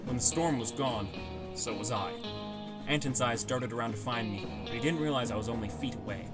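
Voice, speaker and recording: A man's voice: medium pitch, a little fast. A man reading a scripted first-person fantasy story as an audiobook narrator, with music behind him.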